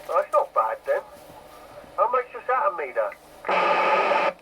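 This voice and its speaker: A man's voice: high-pitched, big pitch swings, slow. An agreeable man chatting casually over CB radio, often repeating himself. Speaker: amateur CB radio operator. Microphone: CB radio set. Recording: dull, lightly hissy CB radio.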